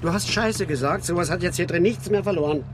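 An actor in a radio drama playing an excitable working-class father who rambles, coaxes and scolds in slang. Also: high-pitched, fast, heavy background noise, close to an everyday voice. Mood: scolding, insistent. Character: excitable working-class father, coaxing his son, bossing mates. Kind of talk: naturalistic radio drama acting.

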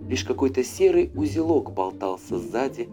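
A man narrating a fairy tale as an audiobook, with an expressive, dramatic storyteller's delivery. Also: mid-range voice, moderate pace. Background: faint music.

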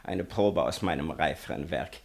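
An actor playing an indignant, pompous literary gentleman in a radio drama, accusing with sneering, grandiloquent phrasing. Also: very deep voice, expressive, moderate pace, creaky, heavily acted. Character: indignant, pompous male man of letters accusing a rival. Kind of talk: theatrical radio drama acting.

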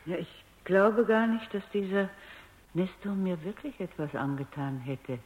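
A woman's voice: lowish voice, animated, unhurried, slightly rough, highs cut off. An actress playing an analytical, self-assured woman scientist in a radio drama, speaking in precise phrases. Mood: shaken, reflective. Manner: precise, lecturing, composed. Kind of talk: German radio drama acting.

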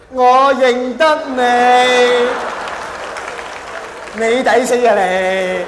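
A man with a Hong Kong Cantonese accent delivering a colloquial stand-up monologue, full of exaggeration and rhetorical questions. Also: sits high. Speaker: stand-up comedian. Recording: echoey hall, faint background noise.

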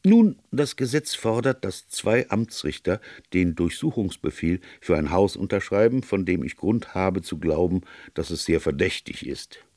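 A man voicing a grave, plain-spoken police colonel in a storybook reading, earnest, formal and deliberate. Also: sits low, lively intonation, a little mellow, no echo, clean studio sound. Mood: businesslike. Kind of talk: audiobook character dialogue.

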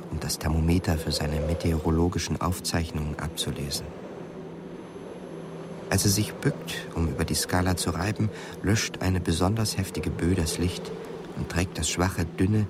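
A male narrator tells a radio drama story in a low voice. He is measured and composed, set against clearly audible background noise.

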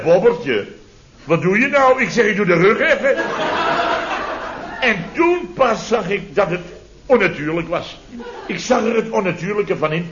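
A pompous, indignant building boss in a radio comedy. His voice sits rather high and leaps about animatedly as he blusters and repeats himself. The recording is old and dull. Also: noisy background. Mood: exasperated.

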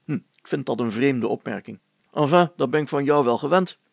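Man playing a pompous gravelly village mayor. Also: mid-range voice, animated, normal speed, no room sound. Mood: haughty, suspicious. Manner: pompous, clipped. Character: stately, suspicious, curt village mayor.

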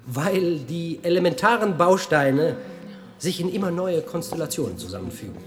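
Guy acting brooding physicist in a radio play, pretty high voice, swings around a lot as he lectures. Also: animated, normal speed, music in the background, natural voice. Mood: lecturing. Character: intense, musing male physicist, lecturing and speculating. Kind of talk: German science-fiction radio drama acting.

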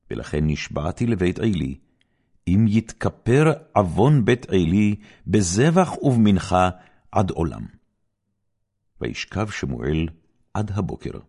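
A man giving a solemn, measured, dramatic reading of scripture as an audiobook narrator. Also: sits low, a little mellow, slightly rough.

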